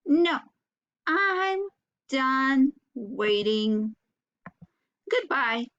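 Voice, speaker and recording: Grumpy little picture-book animal, a woman putting it on, childish and huffy, high voice, slightly dull recording. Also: expressive, extremely slow, a bit bright, exaggerated voice. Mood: impatient, huffy. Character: impatient small animals, grumpy about waiting.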